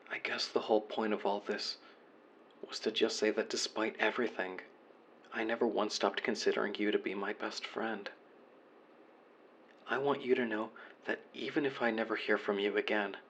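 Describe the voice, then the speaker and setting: A man acting out a remorseful young man in a voicemail roleplay, speaking low with a gravelly fry. His delivery is earnest and confessional, staying flat and subdued, over faint background noise. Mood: wistful, sincere. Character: remorseful young man apologizing to his former best friend. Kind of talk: scripted audio roleplay, voicemail monologue.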